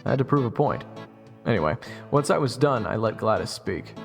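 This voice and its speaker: A man's voice: lowish voice, expressive, fairly quick, vocal fry, faint music behind. A man playing a cocky, flippant young swordsman who narrates his own adventure in an audio drama. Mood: matter-of-fact. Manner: flippant, boastful. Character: cocky young rogue swordsman, evasive and sarcastic. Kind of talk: first-person audio drama narration and dialogue.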